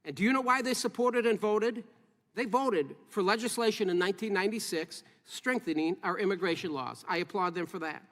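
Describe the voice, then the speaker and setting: Man, politician, high and bright, formal emphatic speech, long echoing hall. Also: brisk. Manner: emphatic, rhetorical questions to the audience.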